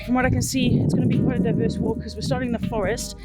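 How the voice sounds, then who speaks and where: A woman's voice: fairly high pitch, little pitch movement, racing. A woman from the Netherlands chatting and narrating casually as a hiking vlogger, with an enthusiastic, informal way of talking. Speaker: travel vlogger and hiker. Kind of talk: casual vlog chat and narration. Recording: music behind the voice. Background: music.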